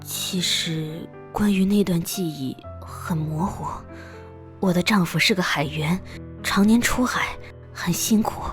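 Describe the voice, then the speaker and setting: A woman voicing a timid, sorrowful ghostly mother in an animated drama, with a small, breathy voice. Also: lowish voice, slow, faint music behind. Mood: wistful. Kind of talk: Chinese animated drama voice acting.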